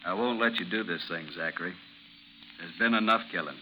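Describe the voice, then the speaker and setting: A man's voice: low voice, brisk, natural voice. Earnest, pleading ex-officer played by a man, noisy recording. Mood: earnest, coaxing. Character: earnest, protective male friend, a former army officer. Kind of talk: 1950s radio drama acting. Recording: noisy, sizzling 1950s radio recording.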